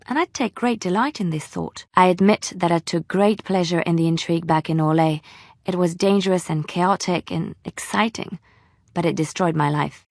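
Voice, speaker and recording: An actress voicing a gentle, earnest young woman bard in a video game, with an expressive, deliberate delivery. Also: medium pitch, no room sound, studio quality, close to an everyday voice. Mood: conflicted, pensive. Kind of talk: scripted video game dialogue.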